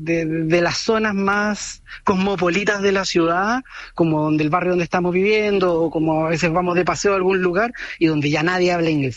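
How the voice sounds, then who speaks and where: A man's voice: sits high, no echo. A Chilean man in his late thirties with a Chilean accent, answering interview questions thoughtfully with many fillers and restarts. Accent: Chilean Spanish. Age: 30s. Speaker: interviewee, student abroad. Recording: dull lo-fi sound.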